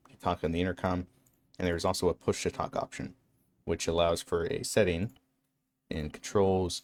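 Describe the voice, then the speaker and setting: A man narrating an instructional game walkthrough in a matter-of-fact, explanatory way. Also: deep voice. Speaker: tutorial narrator.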